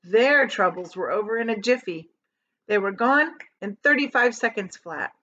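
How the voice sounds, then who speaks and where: A woman reading a children's storybook aloud as a teacher would, with dramatic emphasis and drawn-out key words. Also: mid-range voice, animated, bright, forceful tone, lo-fi sound.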